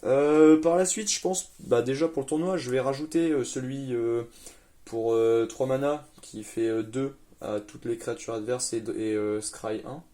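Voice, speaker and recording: Relaxed young man explaining a card deck. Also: mid-range voice, normal speed. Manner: laid-back, hesitant with many fillers. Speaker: deck presenter. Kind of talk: casual card game deck tech chat.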